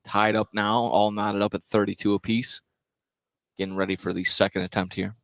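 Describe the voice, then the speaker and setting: A man's voice: on the low side, flat delivery, moderate pace, fairly bright tone, no room sound. A man doing live radio play-by-play of a basketball game in clipped phrases. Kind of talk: live sports radio commentary. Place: indoor basketball gymnasium. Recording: noisy gym ambience, bouncing basketballs. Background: gym noise and basketball bounces.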